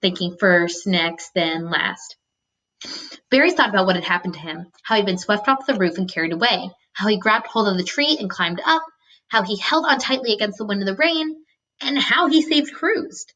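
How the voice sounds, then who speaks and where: Expressive woman teacher reading a storybook aloud. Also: medium pitch, moderate pace, low-quality recording.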